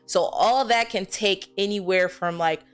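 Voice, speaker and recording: A woman's voice: mid-range voice, a little slow, faint music behind. An American woman speaking casually and expressively as an actress answering interview questions, often posing questions to herself as she explains. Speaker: film actress being interviewed.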